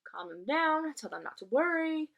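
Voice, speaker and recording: A woman's voice: sits high, sing-song, moderate pace, vocal fry, highs cut off, voice pushed up, exaggerated voice. A woman voicing a pessimistic female crow in a storybook, blunt and urgent. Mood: brisk.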